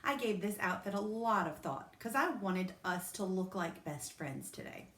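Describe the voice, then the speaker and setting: A woman reading aloud, voicing a loud, bossy little girl with a shrill, pushy manner. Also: expressive, normal speed, roomy sound, natural voice.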